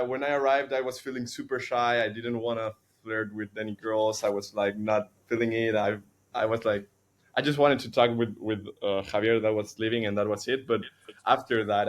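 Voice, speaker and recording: A man from Chile, a native Spanish speaker, telling a story on a podcast in a halting way with many restarts and fillers. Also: medium pitch. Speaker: podcast co-host. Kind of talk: casual storytelling.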